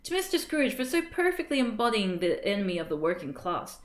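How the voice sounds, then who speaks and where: A woman's voice: mid-range voice, big pitch swings, brisk, lightly acted. An earnest storybook activist, voiced by a woman reading aloud, solemn and declamatory. He speaks like someone proposing a formal toast, in a roomy space with audible echo. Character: earnest male activist raising a festive toast.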